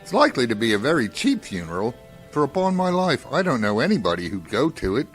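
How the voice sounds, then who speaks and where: A man's voice: mid-range voice, wildly swooping pitch, quick pace, faint music behind. A man playing a callous, jocular businessman in a radio drama, with a flippant sing-song delivery. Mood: callous amusement. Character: callous, jocular businessman gossiping about a death. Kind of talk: theatrical radio drama acting.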